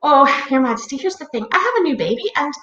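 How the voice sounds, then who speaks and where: Casting: worried young storybook mum, played by a woman narrator, earnest and coaxing, high and lively. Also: fast talker, full character voice. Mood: anxious, coaxing. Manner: earnest, pleading, bargaining. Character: Molly, worried, coaxing young mother and baker. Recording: roomy, dull, noisy home recording.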